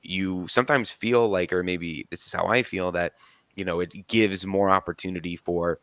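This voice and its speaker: Brief: man, host, very low voice, measured and reflective, dry close sound. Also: fairly even intonation, fairly bright tone, no echo. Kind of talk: conversational interview.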